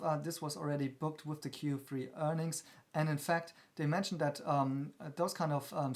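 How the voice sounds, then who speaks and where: Man explaining things as a finance commentator. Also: fairly high pitch. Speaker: host of an investment channel. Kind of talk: analytical commentary on company earnings.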